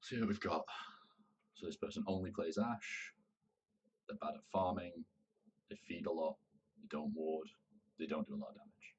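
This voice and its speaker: A man from Manchester, hoarse from a sore throat, chatting casually and swearing as he streams his gameplay. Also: a bass voice, moderate pace, pauses often, recorded from a distance, lo-fi sound. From Manchester, England. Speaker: game streamer. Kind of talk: casual live gameplay commentary.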